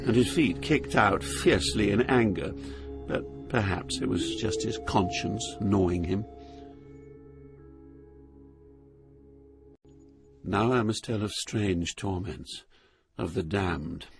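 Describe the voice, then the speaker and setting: An actor playing an awestruck, fearful poet who recounts a journey through Hell in solemn, vivid dramatized narration. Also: male voice, on the low side, measured pace, gravelly. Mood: solemn horror. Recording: narration over loud music.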